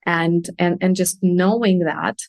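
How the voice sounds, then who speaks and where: A woman's voice: lowish voice, fairly even intonation, takes it slowly, gravelly, no room sound. A woman, explaining things earnestly and candidly in a conversational interview as a guest coach. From Russia. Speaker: podcast guest, consultant and coach.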